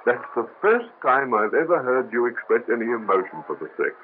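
Man doing worried buddy, lively low husky voice, phone-thin old radio play. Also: fairly quick, slight background noise, natural voice. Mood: earnest. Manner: urgent, warning. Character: Camille, cautious male friend and colleague. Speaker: radio drama actor. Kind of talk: 1930s radio acting. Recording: tinny lo-fi old radio recording.

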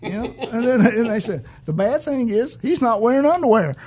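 A man's voice: very high voice, big pitch swings, brisk, a little mellow. A man hosting a casual interview, easygoing and rambling. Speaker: podcast host.

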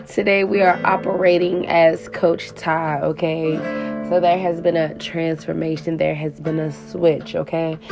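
A woman life coach with a raspy voice, giving a spiritual coaching talk and tagging her lines with "okay?". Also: low-pitched. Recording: guitar music playing under the voice.

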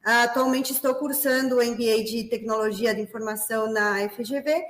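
A woman's voice: a little high, fairly even intonation, normal speed, reverberant. Peruvian-accented woman hosting a webinar. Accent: Peruvian Spanish. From Peru. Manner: friendly, many fillers like "né" and "tá". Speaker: webinar host, business development manager. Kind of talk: corporate webinar presentation.

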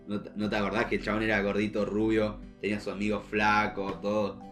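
Young Argentine male co-host, lowish voice, informal and halting, loose conversational delivery over faint music. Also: completely flat delivery, fairly bright tone. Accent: Argentine Spanish. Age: young adult. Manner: informal, slangy, halting with repeated false starts. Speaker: podcast co-host. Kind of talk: casual chat.

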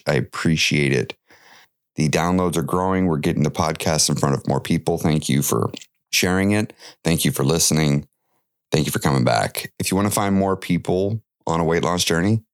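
A man's voice: deep voice, no echo, studio quality. A man hosting his own podcast while fighting a cold, talking in an earnest, friendly, chatty way with lots of fillers. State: has a cold, slightly stuffy. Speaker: podcast host and weight loss coach. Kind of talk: solo podcast monologue.

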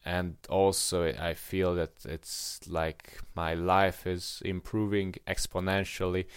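Young hungarian guy chatting on a podcast, lowish smooth voice, stops and restarts a lot, dry sound. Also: flat delivery, no echo. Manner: reflective, halting with restarts. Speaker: podcast co-host. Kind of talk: casual conversation.

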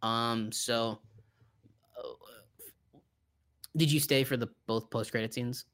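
A man's voice: medium pitch, steady tone, slow, fairly bright tone. A man co-hosting a casual film discussion in an informal, sardonic way. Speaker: podcast co-host.

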